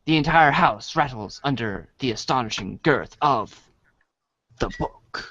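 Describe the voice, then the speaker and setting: Male narrator, theatrical storybook read: unhurried, very varied intonation, dry lo-fi sound. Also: medium pitch, lively intonation, a bit bright, no echo. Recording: dry, dull lo-fi audio.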